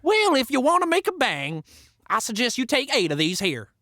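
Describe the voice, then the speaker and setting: Excitable, swooping Old West explosives seller. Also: female voice, high voice, moderate pace, no room sound, studio quality, full character voice. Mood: eager. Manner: folksy, whooping, theatrical. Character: gleeful, excitable Old West dynamite seller named Spots. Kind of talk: audio drama acting.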